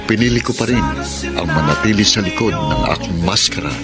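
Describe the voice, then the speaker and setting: A man's voice: low voice, fairly quick, over loud music, close to an everyday voice. An actor playing an earnest, lovelorn young man hiding behind a pretence in a Filipino radio melodrama. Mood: sorrowful. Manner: earnest, dramatic delivery.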